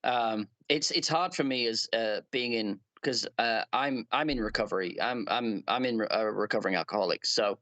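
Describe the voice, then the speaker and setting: An English man from Leeds chatting as a guest, earnest and halting with many fillers and restarts. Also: fairly low pitch, fairly bright tone, dry recording. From Leeds, England. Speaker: guest player. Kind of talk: casual chat.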